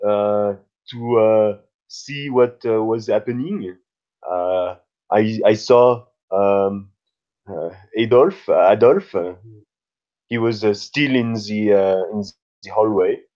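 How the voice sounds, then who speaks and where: A man with a fairly low voice plays a mystery role-playing game on slightly dull audio. He improvises slowly and very haltingly, stopping often to find his next words. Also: mellow. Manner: very halting, heavy with hesitations. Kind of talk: improvised in-character game talk.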